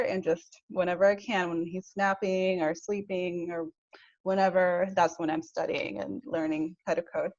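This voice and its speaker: A woman's voice: on the low side, a little mellow, dry recording. A woman in her thirties introducing herself on a video call, friendly, with a few shy laughs. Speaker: coding program participant. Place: indoor room. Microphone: earbuds on a video call. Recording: dull lo-fi video call audio.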